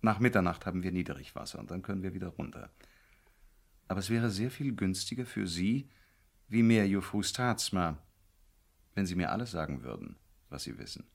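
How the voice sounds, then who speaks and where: Smug, low, creaky-voiced gangster. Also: male voice, lively intonation, moderate pace, exaggerated voice. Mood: smug, menacing. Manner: mocking, falsely courteous, commanding. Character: smug, mockingly polite male gangster with a revolver. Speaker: actor playing the villain. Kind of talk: 1970s German radio crime drama acting.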